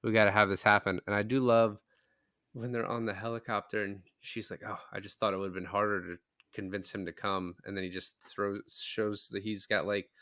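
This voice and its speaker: A man's voice: on the low side, level tone, fairly quick, a bit bright, no echo, studio quality. A man in a casual film discussion, retelling things in a rambling way with some swearing.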